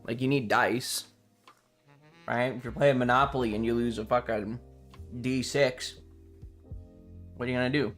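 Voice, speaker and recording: Male live streamer, mid-range voice, loose rambling chat with a playfully dramatic streak, soft guitar music behind. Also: mid-range voice, steady tone, unhurried, long pauses. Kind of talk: casual livestream chat with viewers.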